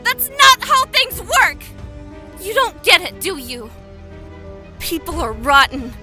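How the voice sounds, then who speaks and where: Very high-pitched emotional anime schoolgirl. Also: sing-song, takes it slowly, sharp tone, faint music behind, exaggerated voice. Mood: hurt, furious. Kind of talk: anime-style audio drama acting.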